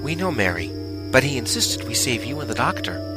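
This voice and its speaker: Man as an animated, protective rabbit doctor. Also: mid-range voice, a little fast, music in the background, lightly acted. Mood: concerned. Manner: firm, concerned. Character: protective, firm male rabbit ship's doctor. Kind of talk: science-fiction audio drama acting.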